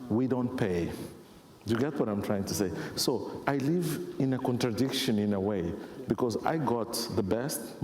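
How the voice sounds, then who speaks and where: Brief: young Mozambican man, lively panel speaker, reverberant hall, faint noise. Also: medium pitch. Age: young adult. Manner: animated, repeatedly asks if listeners follow. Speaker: panelist, festival founder and musician. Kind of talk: panel discussion. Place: conference hall.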